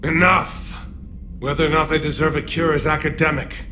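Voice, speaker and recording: A man's voice: a little high, level tone, normal speed, background noise, close to an everyday voice. A man playing a stern, impatient alien statesman in a video game, speaking with clipped, pragmatic authority. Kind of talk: cinematic video game acting. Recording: game music behind.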